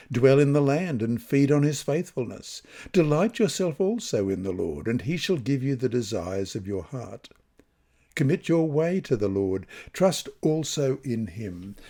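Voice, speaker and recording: A man reads devotional scripture in a soft, mellow tone. His intonation moves up and down in a lively way at a medium pitch, and he phrases things deliberately, pausing for emphasis. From Australia. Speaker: reader of devotional Bible lessons.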